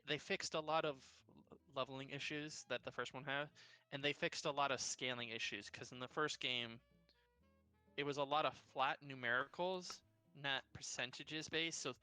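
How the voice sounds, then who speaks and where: A man's voice: on the high side, normal speed, bright, forceful tone, clear, resonant tone, dry recording, soft background music. A man in his thirties with a youthful-sounding voice, rambling in casual chat between tabletop players. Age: 30s. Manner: rambling, many restarts, laughs at himself.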